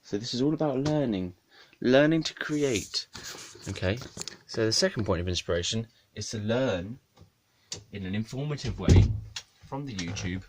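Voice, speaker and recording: A man's voice: lowish voice, slight background noise. A man, a musician rambling through a comic monologue to camera, swearing and laughing at his own jokes. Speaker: musician and video maker.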